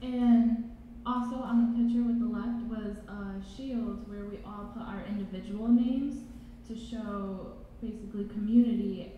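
A young woman on a student panel, talking casually into a handheld mic in a large hall. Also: medium pitch. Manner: casual, uses "like". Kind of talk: panel presentation. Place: large reverberant college hall. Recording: echoey hall, dull sound, faint noise.

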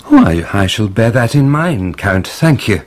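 An actor playing a guarded, officious middle-aged prosecutor in a radio drama, formal and evasive. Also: male voice, on the low side, very animated, measured pace. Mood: guarded, uneasy.

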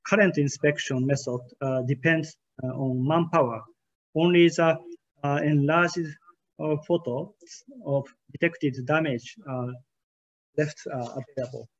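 Guy giving a keynote on a webcam call, slightly high voice, lots of uh pauses, audio a bit muffled. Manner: hesitant, frequent "uh" fillers, careful formal phrasing. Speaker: keynote speaker. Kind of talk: conference keynote presentation over slides. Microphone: webcam on a video call.

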